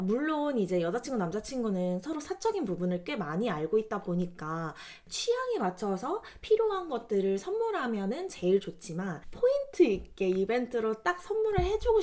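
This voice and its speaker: A woman's voice: fairly high pitch, a little mellow. A young woman talking casually to viewers like a video creator, explaining her recommendations in a chatty, polite way. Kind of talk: casual product-recommendation vlog talk.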